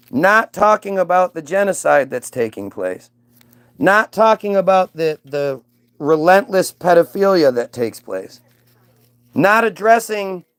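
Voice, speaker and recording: A man's voice: sits high, clear, resonant tone, no room sound, studio quality. A man hosting a livestream, arguing heatedly with viewers in a mocking, combative way, swearing and restarting his sentences.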